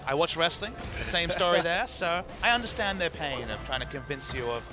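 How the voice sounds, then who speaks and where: A man commentating on esports in casual banter, making wry, deadpan jokes. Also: a little high, brisk.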